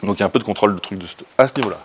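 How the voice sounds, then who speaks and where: A man, giving an informal technical talk as a conference speaker, in a casual, colloquial, hurried manner. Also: on the low side, slight background noise. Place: indoor presentation room, standing by a projection screen.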